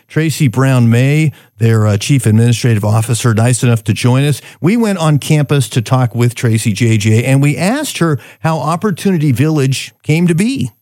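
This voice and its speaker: A man's voice: mid-range voice, a little mellow, no echo, clean studio sound. A man hosting a radio business show, talking conversationally with joking asides.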